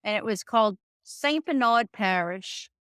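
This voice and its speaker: A woman's voice: mid-range voice, expressive, measured pace, fairly bright tone, clean tone, no echo. Woman, New Orleans accent, middle-aged, folksy storyteller, dry close sound. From New Orleans, Louisiana. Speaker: guest, restaurant owner. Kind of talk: casual interview chat.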